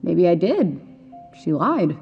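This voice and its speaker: Sardonic missing teen girl in audio drama, taunting, creaky, swoopy pitch, muffled dull filter. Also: very animated, slow pace, exaggerated voice. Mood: sardonic, challenging. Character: elusive, challenging missing teenage girl, an imagined presence. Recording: muffled narrow band, faint music.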